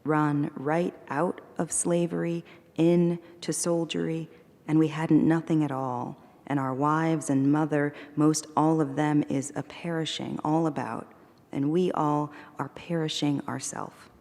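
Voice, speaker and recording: Woman, poet, low gravelly voice, slow measured recitation, live reading, long hall reverb. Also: flat delivery, a little mellow. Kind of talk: poetry reading. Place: performing arts center hall.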